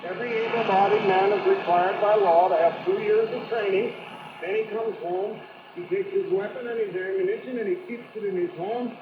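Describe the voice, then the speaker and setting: A man preaching a political sermon on shortwave radio, speaking emphatically and deliberately and repeating phrases for stress. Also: high-pitched, steady tone. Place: radio broadcast picked up on a shortwave receiver. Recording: noisy, dull, echoey shortwave radio reception.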